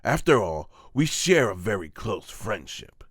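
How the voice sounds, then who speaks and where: A man's voice: fairly low pitch, very animated, a little slow, no room sound, clean studio sound. Man playing a pushy, swooping scheming envoy. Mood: smooth persuasion. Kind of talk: dramatic manga voice-over.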